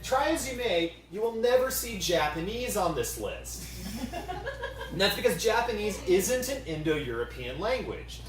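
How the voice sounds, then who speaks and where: A panel presenter with very animated, swooping intonation delivers a mock-serious comedy lecture. The delivery is satirically pompous and earnest on the surface. The sound is roomy and distant from the microphone. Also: mid-range voice, a little hiss or hum. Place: convention panel room. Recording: roomy echo, picked up from a distance.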